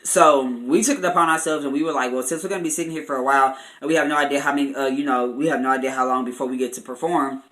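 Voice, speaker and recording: A man's voice: mid-range voice, fairly even intonation, rapid-fire, hardly any pauses, pushed and bright, poor audio. A young American man chatting casually as a vlogger, telling a story in a chatty way with slangy filler phrases.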